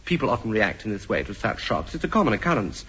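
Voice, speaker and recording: Pompous doctor, lively old radio comedy. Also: male voice, lowish voice, breathy edge, full character voice. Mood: grave, professorial. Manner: deliberate, formal, clinical phrasing. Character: earnest, pompous male doctor with a childish streak. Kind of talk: 1950s radio comedy acting. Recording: noisy, dull old radio recording with clicks.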